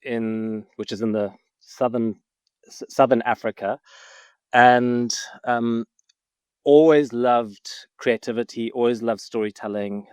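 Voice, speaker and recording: Guy from zimbabwe chatting on a podcast, laughs a lot, mid pitch, dry close sound. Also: no echo. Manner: laughs often, upbeat and enthusiastic. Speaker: guest, film writer-director. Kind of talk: casual interview chat.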